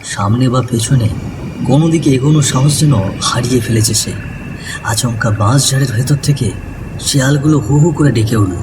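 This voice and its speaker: A man's voice: medium pitch, normal speed. A man narrating a scripted ghost story in a dramatic, suspenseful storytelling manner. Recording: distant voice over noisy ambience.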